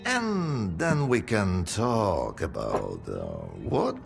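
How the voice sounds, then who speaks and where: Shady dealer in a game, super slow drawl, creaky gravel voice, music behind. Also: male voice, lowish voice, huge pitch swings, heavily acted. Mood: sly. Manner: drawling, deliberate. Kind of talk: video game cutscene acting.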